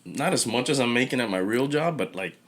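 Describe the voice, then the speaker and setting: A man's voice: medium pitch, lively intonation, brisk. A man in his thirties from Cuba, raised in Miami, chatting casually as a podcast guest, laid-back and hesitant with lots of fillers. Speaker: podcast guest, small business owner. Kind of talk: casual conversation.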